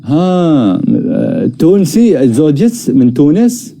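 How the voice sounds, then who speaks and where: A man's voice: a little high, sing-song, slow, creaky, mellow, a little hiss or hum. A man bantering casually as a live stream host, teasing and prodding with questions.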